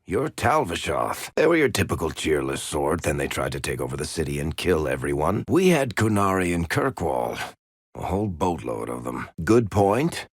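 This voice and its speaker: A man's voice: very deep voice, sing-song, normal speed, breathy edge, no room sound, studio quality, full character voice. A man playing a sardonic, teasing dwarf rogue and storyteller in a video game. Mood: teasing, sly. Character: wry dwarf rogue storyteller, shifty charming smuggler type. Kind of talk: video game character dialogue.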